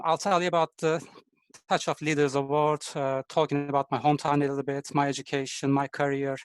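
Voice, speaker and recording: A man from Turkey with a Turkish accent, a design engineer giving a halting, friendly online presentation full of fillers over a video call. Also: mid-range voice, clean tone, no room sound.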